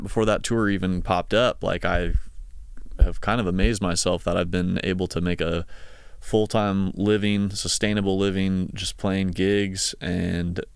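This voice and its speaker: Man, thirties, casual podcast host. Clear, resonant voice, conversational and rambling, recorded dry and close. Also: low-pitched, dry recording. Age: 30s. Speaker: podcast host, session guitarist. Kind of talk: casual solo podcast monologue.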